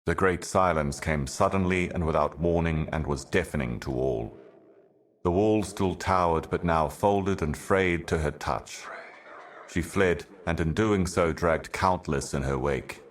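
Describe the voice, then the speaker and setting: A man voicing an ancient, otherworldly envoy in a video game, delivering cryptic monologues in a solemn, measured, portentous manner. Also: low-pitched, slight background noise. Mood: solemn, ominous. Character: ancient cosmic envoy, solemn otherworldly prophet serving higher powers.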